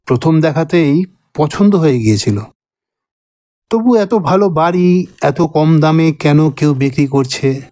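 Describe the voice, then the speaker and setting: A man reading a scripted cartoon ghost story, with deliberate, drawn-out phrasing. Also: fairly high pitch, warm tone, dry recording. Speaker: story narrator voicing old friends. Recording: dry voice over background music. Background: music.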